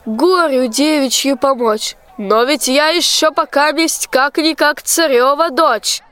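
A young woman playing a wilful, lovesick tsar's daughter in a rhymed radio play, demanding and deliberate in her verse. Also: sits high, a bit bright. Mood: pleading, threatening. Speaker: student actor in a school radio play. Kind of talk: rhymed folk-tale radio play acting.

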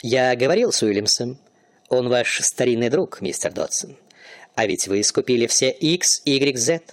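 A man's voice: medium pitch, animated, normal speed, no room sound, studio quality, close to an everyday voice. An actor playing a timid, deferential male clerk who hesitantly addresses his boss in a radio play. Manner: hesitant and polite, hedging his words. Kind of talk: amateur radio play acting.

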